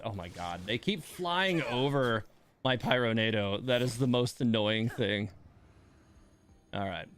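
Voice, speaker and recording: A man's voice: on the low side, a bit bright. A man giving casual live game commentary, thinking aloud in broken, hesitant phrases. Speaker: game livestream host.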